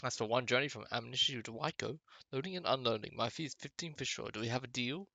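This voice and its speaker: A man's voice: mid-range voice, fast, gravelly, pushed and bright, no echo. A man voicing a shrewd female island dealer in a fantasy game, terse and businesslike. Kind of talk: amateur game dialogue voicing.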